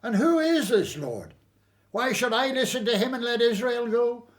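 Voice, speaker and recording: A snooty irritable pharaoh for radio drama, high voice, really animated, imperious. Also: male voice, moderate pace. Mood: scornful. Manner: imperious, dismissive, demanding. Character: haughty, irritable male Egyptian Pharaoh.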